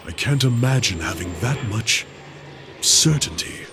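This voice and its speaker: An actor playing a brooding, contemplative character in a fantasy video game, musing in measured phrases. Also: male voice, medium pitch, expressive, background noise. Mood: musing, troubled.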